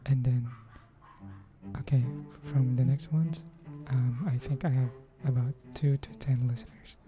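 A woman hosting a podcast, thanking her listeners in a soft, often near-whispered, unhurried voice. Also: rumbling low, fairly even intonation. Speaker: podcast host. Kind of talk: thank-you message to listeners. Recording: cello music playing under the voice.

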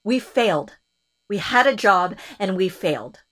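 A worn-out, sardonic rebel. Her voice is lively and moves widely in pitch. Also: measured pace. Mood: bitter. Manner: bitter, sardonic. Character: weary female revolutionary captive.

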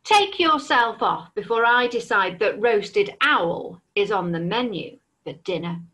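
A slow, booming stubborn dragon, as a woman puts him on. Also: lively intonation. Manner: slow, loud, bossy. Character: huge stubborn male fire-breathing dragon.

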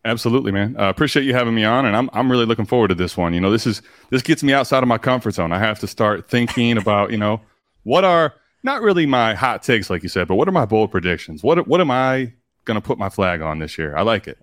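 A deep, low-voiced male guest with a classic radio sound. He talks quickly and confidently in relaxed chat. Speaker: podcast guest. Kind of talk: casual podcast chat.

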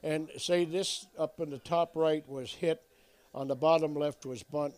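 Older man, former ballplayer, slightly high voice, reminiscing, plain storytelling. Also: steady tone, unhurried, a little mellow. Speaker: interview guest, former ballplayer. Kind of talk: telling a story in an interview.

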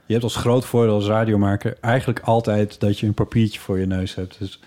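A man chatting casually on a podcast, informally and haltingly, searching for his words. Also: on the low side, steady tone, warm tone.

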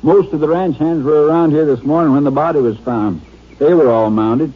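Folksy Southern sheriff, tinny sound. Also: male voice, a little high, brisk, breathy edge. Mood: plainspoken. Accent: American Southern. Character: folksy, dutiful Texas country sheriff. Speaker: actor in a radio crime drama. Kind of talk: old-time radio drama acting. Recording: thin, noisy old radio recording.

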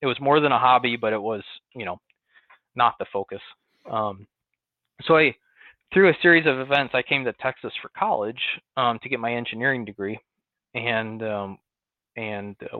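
Western New York farmer on a tinny line. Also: male voice, mid-range voice, normal speed, no echo. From Western New York, near Buffalo. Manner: relaxed storytelling with many "um"s and restarts. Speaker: guest, cattle farmer. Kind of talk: conversational interview. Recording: tinny phone-like line, dry.

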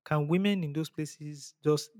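A young Nigerian man co-hosting a podcast, teasing his friends and telling animated stories. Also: fairly high pitch, unhurried, dry recording, studio quality. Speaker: podcast co-host. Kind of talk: casual chat.

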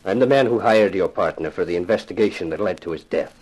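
Grave, deep, husky rich gentleman. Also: low-quality recording. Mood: solemn, remorseful. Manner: formal, measured, solemn phrasing. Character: grave, formal, wealthy father, a grieving client. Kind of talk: 1950s radio crime drama acting.

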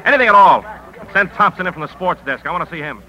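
A man's voice: high-pitched, lively intonation, racing, heavy background noise. A man playing a brusque, hard-driving newspaper editor in a 1950s radio drama, clipped, commanding and sardonic. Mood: brisk, commanding.

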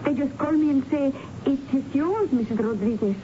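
A woman's voice: high voice, moderate pace, warm tone, lightly acted. A woman playing a flustered, forgetful widow in a radio drama, polite but vague. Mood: vague, evasive. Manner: flustered, vague, formal foreign phrasing. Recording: dull, noisy 1970s radio broadcast recording.

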